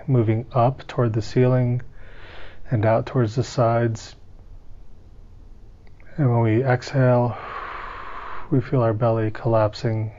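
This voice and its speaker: A man leading a guided meditation in a calm, gentle, unhurried manner, with long pauses between instructions. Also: mid-range voice, dull audio.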